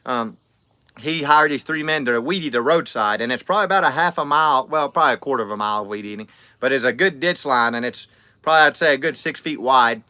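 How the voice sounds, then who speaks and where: A man in his thirties talks on a livestream in a rambling, folksy way, often trailing off and restarting. His voice is bright and smooth. The sound is dry and close, with no room echo. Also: mid-range voice, fast. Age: 30s. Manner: rambling, friendly, folksy with many fillers and restarts. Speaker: livestream host. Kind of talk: casual livestream chat reading comments.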